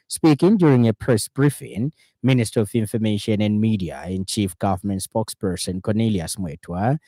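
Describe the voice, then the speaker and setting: Mellow low-voiced Zambian male newsreader. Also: no room sound. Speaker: radio news presenter. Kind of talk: formal scripted news reading. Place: radio studio. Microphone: close studio microphone.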